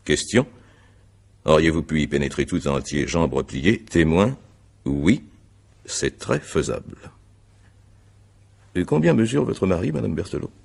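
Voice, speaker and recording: A man playing an examining magistrate in a radio mystery, courteous but insistent, with formal, deliberate phrasing. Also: extremely low pitch, lively intonation, creaky, a little mellow, husky, heavily acted. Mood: methodical, probing. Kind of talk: French radio mystery drama acting.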